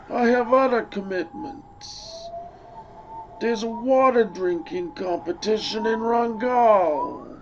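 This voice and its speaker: A woman voicing a proud, grumbling old male camel in a storybook, complaining in a drawn-out way. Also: fairly high pitch, dull audio, slight background noise, pitched above the performer's own voice, heavily acted.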